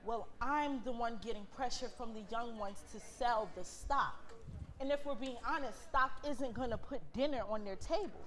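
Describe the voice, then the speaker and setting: Woman playing a blunt factory manager, slightly high voice. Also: normal speed, fairly bright tone, natural voice. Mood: exasperated. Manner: blunt, exasperated. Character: fed-up, outspoken, principled factory manager. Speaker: actor in a staged reading. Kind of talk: staged play reading.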